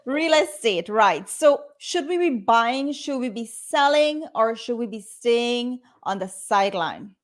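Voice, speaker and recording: A woman's voice: fairly high pitch, expressive, unhurried, hardly any pauses. A woman hosting a talk show, casual and upbeat, laughing along with her guests. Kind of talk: casual chat.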